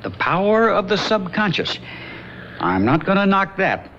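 An actor playing Bill, a wry, teasing skeptic in a 1970s radio drama. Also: male voice, high-pitched, very animated, measured pace, a bit bright, full character voice. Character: wry joking man named Bill, skeptical friend. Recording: dull old radio broadcast with faint hiss.

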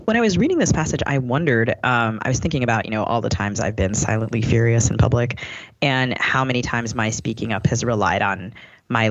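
A man's voice: on the low side, fairly bright tone, no echo. A man co-hosting a podcast, asking conversational questions in a discursive, personal way. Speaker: podcast co-host. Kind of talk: conversational interviewing. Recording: dull, slightly lo-fi sound.

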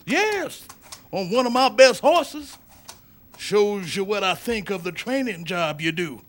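High-pitched, blustering racehorse owner. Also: male voice, animated, slow pace. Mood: grudging, gruff. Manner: bossy, barking, emphatic. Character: blustering, hot-tempered, domineering male racehorse owner. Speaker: actor. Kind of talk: old-time radio drama acting.

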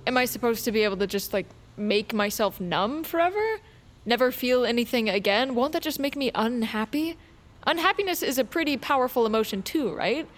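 A woman's voice: a little high, expressive, fairly quick, slight background noise, close to an everyday voice. A woman in an audio drama playing a guarded, awkward, grieving teenage girl with a hesitant, earnest manner. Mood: defensive, upset. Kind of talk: naturalistic audio drama acting.

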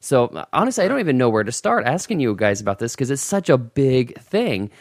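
Male podcast host, mid-pitched, excitable and chatty. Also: no room sound, clean studio sound. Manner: excitable, quick reactions, laughs easily. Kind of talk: podcast interview.

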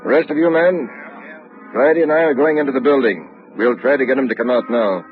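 An actor playing an authoritative American police chief giving terse orders in a 1950s radio crime drama. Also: male voice, mid-range voice, brisk, close to an everyday voice. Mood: commanding. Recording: dull vintage radio recording, faint music.

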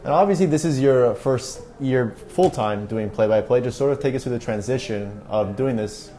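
A male podcast host with a dark, mellow tone and a mid-range pitch. He speaks as an interviewer on a sports show. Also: moderate pace, a little hiss or hum.

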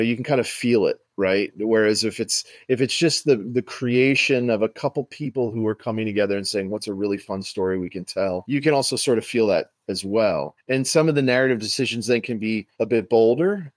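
A man's voice: fairly low pitch, no echo. A man talking reflectively and enthusiastically as an interview guest, explaining creative work. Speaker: podcast guest, writer and director. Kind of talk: interview conversation.

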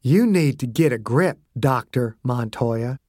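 A man voicing a hardened male agent who gives orders in a calm, quiet, flat voice, in an audiobook. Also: mid-range voice, measured pace, dry recording, clean studio sound.

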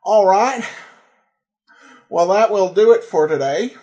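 A man's voice: sits high, slow pace, warm tone. A man hosting a podcast, giving commentary in an earnest way with emphatic, uneven stress. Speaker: podcast host. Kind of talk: podcast commentary and sponsor read.

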